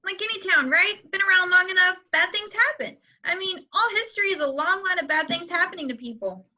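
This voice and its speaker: A woman's voice: extremely high pitch, a little fast, bright, forceful tone, lo-fi sound, exaggerated voice. A woman reading as a nervous, anxious teenage boy, asking worried questions.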